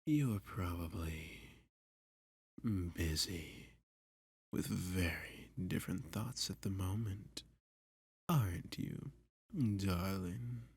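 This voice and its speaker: A man playing a dominant, flirty, possessive villain kidnapper in an ASMR roleplay, teasing and seductive, with a breathy, husky voice that often drops to a whisper. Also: deep voice, darkish tone, no echo, heavily acted. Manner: slow, teasing, seductive, with pet names. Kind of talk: ASMR roleplay addressed to the listener.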